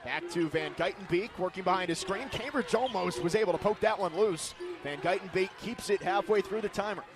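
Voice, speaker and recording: A man calling live sports play-by-play in punchy, crisp announcer phrasing. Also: high-pitched, brisk.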